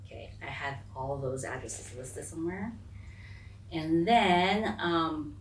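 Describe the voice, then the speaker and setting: Low-voiced American woman, echoey room. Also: expressive, slow pace. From raised on US military bases, largely in South Korea. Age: 40s. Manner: candid, informal, digressive. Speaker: interview guest. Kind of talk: conversational life-story telling. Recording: echoey room, distant mic, faint noise.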